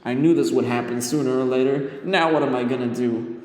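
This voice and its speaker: A man's voice: mid-range voice, a little fast, darkish tone, natural voice. A man voicing a friendly teenage boy who turns nervous and stammers when pressed. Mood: anxious. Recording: roomy long reverb, faint ambience.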